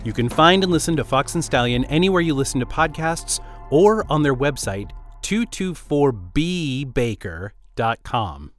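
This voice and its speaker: A man's voice: mid-range voice, lively intonation, measured pace. Man narrating a podcast promo over music. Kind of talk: scripted dramatic promotional narration.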